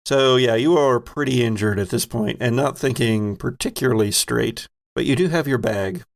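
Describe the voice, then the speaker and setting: Playful man with a creaky voice. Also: mid-range voice, moderate pace. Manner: playful, conversational. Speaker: game master. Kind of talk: improvised tabletop game narration and banter.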